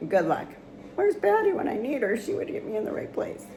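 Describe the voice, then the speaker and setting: A woman's voice: sits high, huge pitch swings, brisk, heavy background noise. An American woman in her fifties talking casually to camera while travelling, rambling and correcting herself as she goes. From United States. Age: 50s. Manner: chatty, rambling, self-correcting. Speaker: travel vlogger. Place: outdoors and indoors on a Greek island.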